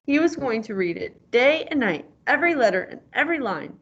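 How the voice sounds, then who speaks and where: A woman's voice: a little high, big pitch swings, a little fast, dull audio. A woman reading a storybook aloud like a teacher, encouraging and prompting the listener with questions.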